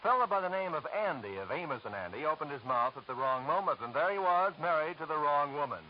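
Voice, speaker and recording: A man's voice: a little high, animated, quick pace. A man working as a radio announcer in polished 1940s network style, introducing programs to listeners. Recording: muffled, hissy old radio broadcast.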